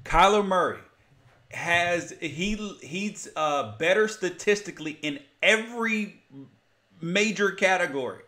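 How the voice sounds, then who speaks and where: American guy hosting a sports pod, kinda high voice, super opinionated and emphatic. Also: expressive, a little slow, pushed and bright. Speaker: sports podcast host. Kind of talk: animated sports debate with a guest.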